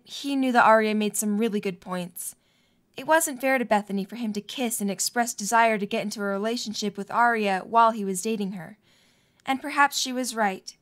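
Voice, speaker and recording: A woman reading scripted narration for a fan-made audio drama. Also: a little high.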